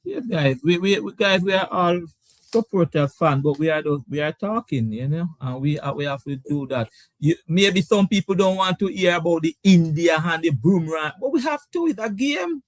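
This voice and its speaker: A man with a Caribbean English accent hosting a sports debate show, animated and repeating his words. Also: a little high, normal speed, no echo. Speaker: show host. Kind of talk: lively sports debate talk.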